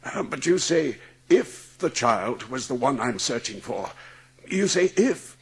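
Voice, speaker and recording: An actor playing a guilt-ridden, ailing, middle-aged gentleman in a dramatised audiobook, speaking with halting anguish. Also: mid-range voice, big pitch swings, husky, full character voice. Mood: troubled, unsure.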